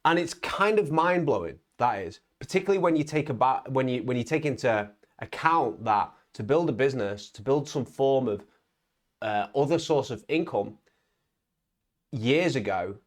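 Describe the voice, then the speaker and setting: A young man talks straight to his viewers in a loose, motivational monologue. His pitch jumps up and down as he stresses points, and he keeps checking in with quick "right?" tags and tripping restarts. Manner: emphatic, lively pitch swings, frequent "right?" tags and stumbling restarts. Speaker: vlogger on online business.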